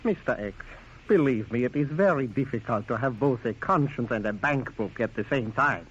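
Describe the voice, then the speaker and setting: A man's voice: medium pitch, expressive, normal speed, natural voice. An actor playing a sly, greedy henchman, oily and mock-polite, in a 1940s radio drama. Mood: smug. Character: sly, greedy, charming male double-crossing henchman. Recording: dull, hissy old radio recording.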